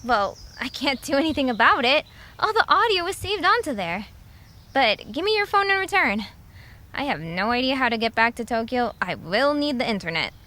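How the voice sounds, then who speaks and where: Woman playing high-pitched, spirited young sister. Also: moderate pace, exaggerated voice. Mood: earnest. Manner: animated, earnest. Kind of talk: audio drama acting.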